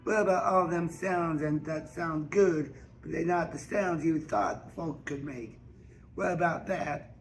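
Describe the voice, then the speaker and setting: A man voicing a bold, bawdy woman singer in an audiobook, with a frank and forceful manner. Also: fairly high pitch, unhurried, soft background music, voice pushed up. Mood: encouraging. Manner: forceful, frank, teasing, coarse. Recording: faint background noise.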